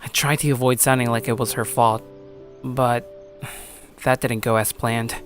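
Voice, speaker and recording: A man playing a troubled, earnest high school boy who narrates his own story in a sincere, brooding way. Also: level tone, moderate pace, fairly bright tone, faint music behind, lightly acted. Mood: troubled, subdued. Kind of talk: scripted dramatic narration and character dialogue.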